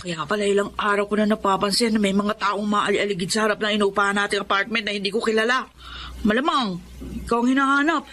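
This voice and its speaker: Actor playing worried roommate, talks fast, filipino radio drama, bit of noise behind. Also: mid-range voice, steady tone, pushed and bright, natural voice. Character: worried apartment mate questioning a friend. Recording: clear background ambience noise.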